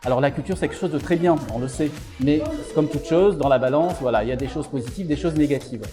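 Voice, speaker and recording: A man's voice: a little high, fast talker, over music. A middle-aged man from Algeria, a psychiatrist and author, answering interview questions with many fillers and restarts.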